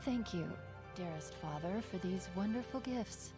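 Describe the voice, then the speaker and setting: A woman playing a sheltered, yearning teenage girl from a tower in an animated fairy tale, soft and polite. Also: medium pitch, unhurried, music in the background, lightly acted. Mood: wistful.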